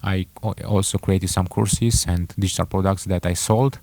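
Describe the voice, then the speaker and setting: A young man with a Romanian accent talks casually about his own path, often stopping and correcting himself mid-sentence. His voice sits very low. The sound is dry and close, with no room around it. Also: fairly even intonation, warm tone, dry recording. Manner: halting, many fillers and self-corrections. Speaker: entrepreneur vlogger. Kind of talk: casual personal story to camera.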